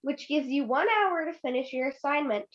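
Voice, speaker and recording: A woman's voice: high voice, moderate pace, lo-fi sound, close to an everyday voice. A woman playing a strict, exasperated mother in an amateur radio play, giving firm instructions. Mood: stern, exasperated.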